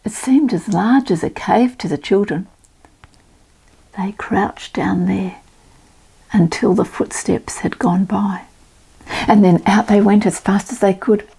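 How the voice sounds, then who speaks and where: An older woman reading a children's storybook aloud as a storyteller, expressive and dramatic. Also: medium pitch, slow pace, vocal fry, pauses often, slightly rough, poor audio.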